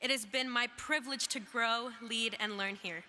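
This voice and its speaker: A young woman who grew up in Iraq and speaks Arabic natively, giving a measured, emphatic speech as a student speaker at a graduation. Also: a little high, sharp tone. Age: young adult. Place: large hall. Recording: reverberant hall with faint noise.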